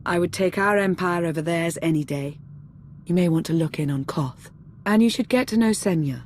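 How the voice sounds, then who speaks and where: A woman acting a composed, calculating yet loyal adviser in a video game, poised and deliberate. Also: fairly low pitch, a little mellow, slight background noise, close to an everyday voice. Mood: calm, assured.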